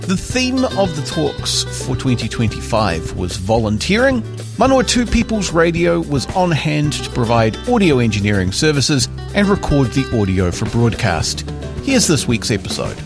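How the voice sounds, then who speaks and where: A man's voice: mid-range voice, very animated, moderate pace, gravelly. A man reading a scripted introduction as a community radio announcer. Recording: announcement over guitar music.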